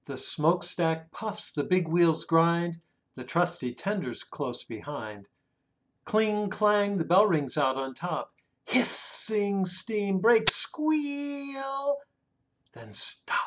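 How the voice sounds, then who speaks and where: A man reads a children's picture book aloud expressively, drawing out words and hushing the ends of phrases. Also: high-pitched, expressive. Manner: dramatic, with drawn-out words and hushed phrase endings. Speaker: children's story reader. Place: small room indoors at home.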